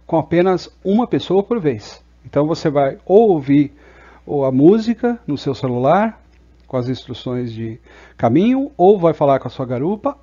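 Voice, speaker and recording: A man's voice: a little high, dark tone, highs cut off. A Brazilian man presenting a casual product review in an explanatory way, often checking in with short tag questions.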